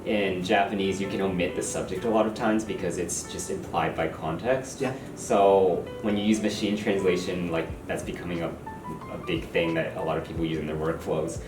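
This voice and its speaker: A man with a very deep voice explains translation issues in a relaxed, explanatory way. He sits away from the mic in an echoing room, and music is clearly audible under him. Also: moderate pace. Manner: casual, explanatory, frequent "like". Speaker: localizer. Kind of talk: interview conversation. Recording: echoey room, distant mic, music behind.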